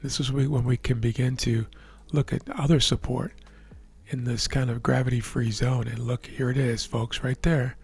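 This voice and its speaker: A man with a hoarse, worn voice calmly explaining the markets as a trading educator, often checking in with the listener. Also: medium pitch, moderate pace. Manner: relaxed, explanatory, checks in with "right?". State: hoarse, losing his voice. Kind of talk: live market commentary over a chart screen.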